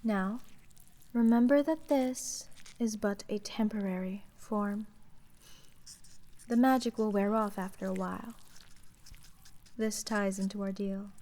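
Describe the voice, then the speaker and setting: Aloof sea witch for fantasy audio roleplay, played by a woman: very slow, soft dark-toned voice, businesslike and deadpan. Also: medium pitch, close to an everyday voice. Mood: cool, instructive. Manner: monotone, measured, coolly formal. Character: aloof, businesslike female sea witch, dry-witted deal maker. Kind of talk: slow roleplay ASMR, scripted fantasy monologue.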